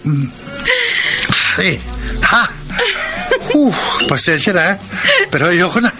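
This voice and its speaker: A man's voice: high voice, expressive, slow. An actor playing an earnest, hesitant young man in love in a Filipino radio drama. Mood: reluctant. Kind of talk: Filipino radio drama acting and confessional narration. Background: music behind the voice.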